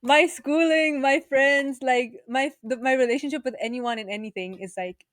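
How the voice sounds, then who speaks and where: 18yo woman from south africa, chatty, lots of likes, higher voice, dry close sound. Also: no echo. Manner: chatty, lots of "like", quick laughs. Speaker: podcast co-host. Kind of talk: casual chat.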